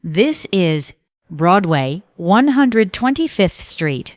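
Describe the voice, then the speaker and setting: A woman delivers formal transit announcements at a slow pace. Her pitch is a little low but moves up and down distinctly, with measured, segmented phrasing. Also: sing-song, no echo, highs cut off. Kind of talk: scripted automated train announcements.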